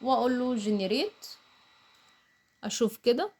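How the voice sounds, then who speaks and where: A woman's voice: a little high, lively intonation, a little slow, darkish tone. A woman with an Egyptian Arabic accent giving a casual step-by-step screen tutorial, explaining as she goes and mixing in English terms. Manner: explains while doing, with English terms mixed in. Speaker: online tutorial instructor.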